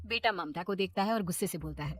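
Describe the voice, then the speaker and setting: A woman's voice: fairly low pitch, fast, faint music behind. A woman narrator telling a cartoon moral tale in scripted storytelling style.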